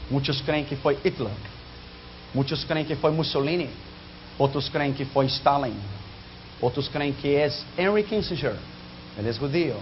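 A man preaching a sermon whose first language is not Spanish, emphatic and dropping low and soft on key lines. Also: a little hiss or hum. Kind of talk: sermon on prophecy. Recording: dull lo-fi recording.

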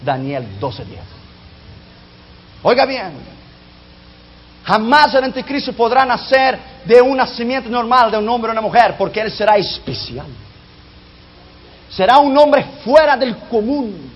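A man preaching a sermon whose first language is not Spanish, emphatic and dropping low and soft on key lines. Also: big pitch swings, unhurried, long pauses, breathy edge. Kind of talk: sermon on prophecy. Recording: dull lo-fi recording.

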